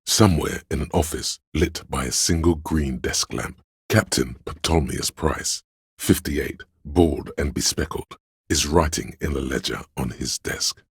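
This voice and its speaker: A man with a gravelly, husky voice reading scripted literary narration for a video game in a measured, solemn, deliberate way. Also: extremely low pitch, no room sound, clean studio sound.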